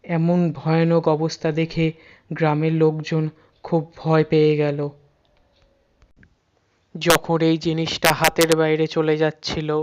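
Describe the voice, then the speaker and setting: A narrator reads a scripted horror story, using dramatic pauses and repeated words to build suspense. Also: mid-range voice, clean tone, highs cut off.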